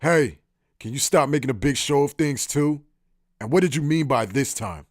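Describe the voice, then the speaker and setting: A man voicing a gruff, cynical fighter in an anime fan dub, exasperated and matter-of-fact. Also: mid-range voice, expressive, normal speed, no echo, studio quality, natural voice.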